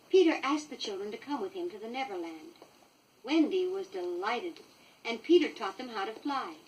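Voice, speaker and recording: An American woman reading a children's story from a script, expressive and clearly paced for young listeners, on a 1960s vinyl record. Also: medium pitch, recorded from a distance, poor audio. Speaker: storybook reader.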